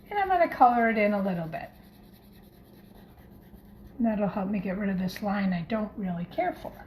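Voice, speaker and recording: A woman teacher gently and encouragingly talking young children through a craft in simple words as she demonstrates it. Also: a little high. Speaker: primary school teacher leading a craft. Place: home kitchen, by the refrigerator. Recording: echoey room with faint background noise.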